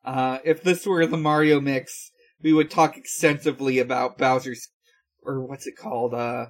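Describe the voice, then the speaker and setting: A man's voice: medium pitch, steady tone, normal speed. A man in his twenties in casual podcast chat, hesitant and thinking aloud as he goes. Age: 20s. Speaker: podcast co-host.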